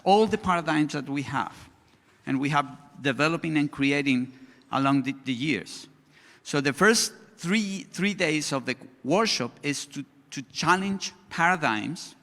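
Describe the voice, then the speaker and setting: A male conference speaker who is not an English speaker, talking slowly in a halting way with many restarts as he presents to an audience and takes questions. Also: fairly high pitch, a bit bright. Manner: slow, halting, frequent restarts. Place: large conference hall. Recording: hall reverb.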